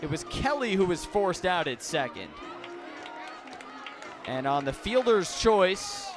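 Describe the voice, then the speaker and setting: A man calling baseball play-by-play live on the radio, phrasing deliberately and swelling on the big plays. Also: high voice, big pitch swings, over music. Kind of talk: live radio sports commentary. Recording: noisy outdoor ballpark with crowd. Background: ballpark crowd noise.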